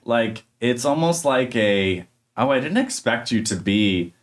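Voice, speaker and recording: A man's voice: medium pitch, animated, measured pace. A young man hosting a podcast interview, speaking thoughtfully with frequent restarts and hesitations. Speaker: podcast host. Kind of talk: casual interview conversation.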